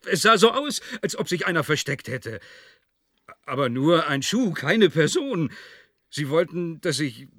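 An actor playing a flustered, evasive safety officer, halting and nervous as he answers his boss in a radio play. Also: fairly high pitch, sing-song, bright, forceful tone, no room sound, studio quality, full character voice. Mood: nervous, defensive.

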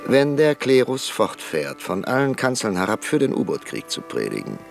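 An actor playing an old, stubborn professor and parliamentarian in a German radio play, politely defiant, with measured, formal and deliberate speech. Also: male voice, mid-range voice, wildly swooping pitch, creaky, faint music behind. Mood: polite, insistent. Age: older.

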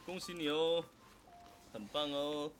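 A man co-hosting a fan quiz livestream, chatting casually and echoing short words in quick bursts as he reacts to answers. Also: fairly high pitch, smooth. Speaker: co-host of a fan quiz livestream for an audio drama.

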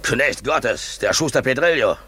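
An actor playing a fanatical, vengeful cobbler on church guard in a 1950s German radio drama, brooding and declamatory. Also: male voice, medium pitch, expressive, unhurried, bright, forceful tone, slightly rough, full character voice. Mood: defiant scornful.

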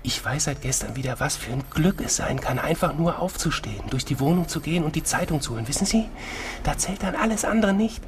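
A man playing a weary trauma surgeon held hostage, confiding in a low-key, halting way in a naturalistic radio drama. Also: lively intonation, natural voice. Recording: noisy ambience behind close voice.

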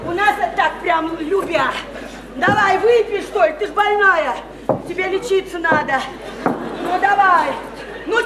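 An actress playing a brash, boozy, chattering widowed house painter, flirty and slangy, in a radio play. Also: female voice, very high-pitched, echoey room, background noise, heavily acted. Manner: flirty, teasing, folksy and slangy. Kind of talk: theatrical radio play acting.

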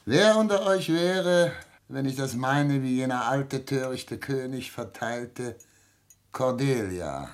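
An actor playing an aging, sardonic family patriarch in a German radio play, speaking with formal, probing irony. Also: male voice, medium pitch, expressive, slow pace. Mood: wounded, challenging. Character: imperious aging patriarch, sardonic privy councillor and father.

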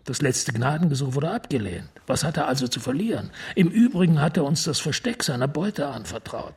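Husky, dramatic police prefect. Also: male voice, fairly high pitch, expressive, full character voice. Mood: insistent. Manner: formal, theatrical, emphatic with dramatic pauses. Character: urgent, smooth-tongued high police prefect, persuasive and secretive. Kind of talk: radio crime drama acting.

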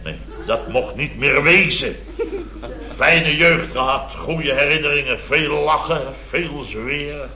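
Blustery, high-pitched middle-aged contractor. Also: male voice, slow, sharp tone, full character voice. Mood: nostalgic boasting. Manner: rambling, exclamatory, constant tag words. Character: blustery middle-aged boastful male building contractor, rambling anecdote teller. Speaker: comic lead actor. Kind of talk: 1970s radio comedy acting. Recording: dull old radio recording, roomy, audience laughter.

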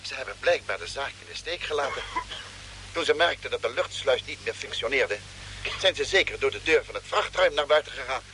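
An actor playing a blunt, logical astronaut crewmate with a matter-of-fact manner in a Dutch radio play. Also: male voice, mid-range voice, expressive, brisk, sharp tone. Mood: inquiring, reasoning. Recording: noisy old radio drama recording.

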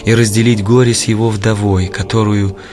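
A man narrating a religious historical audio play from a script in a solemn, measured way. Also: fairly low pitch, flat delivery, crowd noise. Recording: clear music bed under narration.

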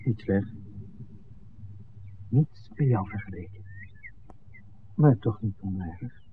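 Unhurried young sweetheart, calm and teasing, slightly low voice, vintage radio drama. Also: male voice, slight background noise. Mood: relaxed, teasing. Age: young adult. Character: young film man in love, calm and teasing. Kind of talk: 1960s Dutch radio play acting. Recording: tinny, distant old radio recording.

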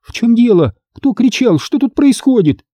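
A man voicing a busy, impatient mother in a storybook, brisk and prone to scolding. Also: sits high, very animated, mellow, no room sound, studio quality, pitched above the performer's own voice. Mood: alarmed.

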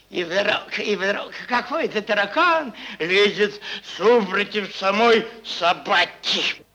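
Theatrical audio drama: a crude, cynical merchant with a bright, forceful voice. He swings widely in pitch as he mocks, rambles and circles back over the same words. He takes his time, bullying and needling at every turn. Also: lively intonation, slow pace, heavily acted. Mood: scornful bullying. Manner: rambling, repeats words, chuckles, mocking. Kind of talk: theatrical stage acting, Russian classic prose.

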